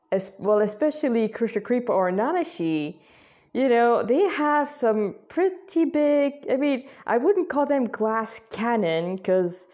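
A woman's voice: fairly high pitch, darkish tone. Woman reading their own story aloud, informally. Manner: stumbles over words and rereads phrases. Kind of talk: informal read-aloud narration with chatty asides.

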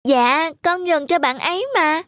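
A woman voicing a sweet, polite little girl in an animated cartoon. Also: high voice, normal speed, dry recording, clean studio sound. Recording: clear children's music behind.

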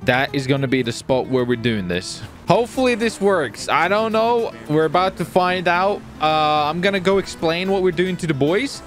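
Higher-pitched man, excited gaming commentary. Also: moderate pace, hardly any pauses, soft background music. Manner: chatty, repeats phrases excitedly. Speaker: gaming video host and player. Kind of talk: casual excited gameplay commentary.

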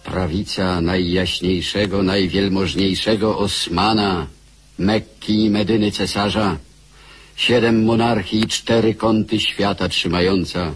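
A man's voice: low voice, steady tone, measured pace, breathy edge. A man playing the solemn narrator of a historical radio drama. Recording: music behind the voice.

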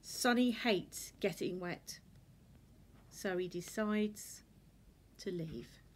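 A woman reads a children's picture book aloud with expressive, deliberate storytelling delivery. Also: mid-range voice. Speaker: storybook reader. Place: indoors in a furnished room at home.

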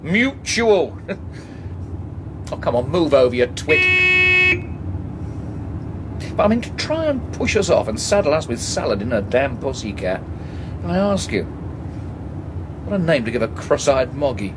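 An actor playing a boorish, grasping English husband in a radio drama, sarcastic, slangy and impatient. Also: male voice, a little high, huge pitch swings, a little slow, long pauses. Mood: irritable, blustering. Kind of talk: naturalistic radio drama acting. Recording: noisy, vehicle sound behind.

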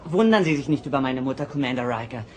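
A man's voice: mid-range voice, expressive, quick pace, a little mellow, slight background noise, natural voice. A young male actor playing a polite, eager teenage boy in a German audio drama. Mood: earnest, polite.

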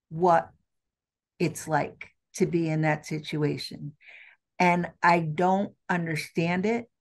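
A middle-aged woman speaking earnestly as a guest on a video call interview from an office, with frequent restarts. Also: fairly low pitch. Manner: earnest, slow and deliberate with many restarts. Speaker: trade show CEO, guest. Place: office with windows. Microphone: video call webcam.

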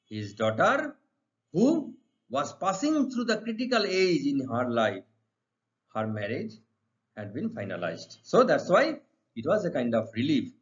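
A middle-aged man with an Indian accent gives a didactic lesson in a fairly high voice with very animated intonation. He reads out questions and explains each answer, repeating phrases as he goes. The sound is dull and lo-fi. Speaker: English literature teacher.

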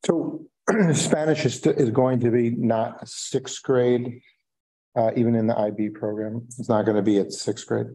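A man's voice: mid-range voice, steady tone, measured pace, warm tone, husky, poor audio. A man on a school board asking questions at a meeting, hesitant with many fillers and restarts.

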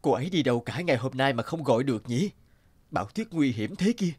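A man's voice: medium pitch, animated, fast talker, a little mellow, breathy edge. A man voicing a caring, worried middle-aged father in a cartoon, earnest and chiding. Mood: concerned. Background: background music.

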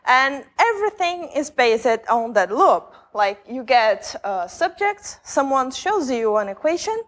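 A woman's voice: high voice, very animated, unhurried, a bit bright. A young woman from Brazil with a Brazilian Portuguese accent giving a conference talk in a large lecture hall, speaking conversationally with many fillers and restarts. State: jet-lagged. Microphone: stage mic, camera from far back.

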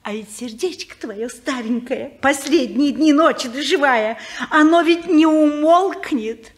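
A woman's voice: high voice, a little slow. An actress playing a preachy, pious older woman who pleads about truth and God, in a 1950s radio play.

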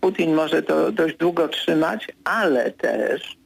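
An older man with a deeply gravelly, husky voice talks slowly and deliberately in a radio studio. He pauses often to gather his thoughts, with fillers between phrases. When he pushes back on a point, he becomes firm and insistent. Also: expressive. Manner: measured, hesitant with many fillers, grows insistent when correcting. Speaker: political commentator.

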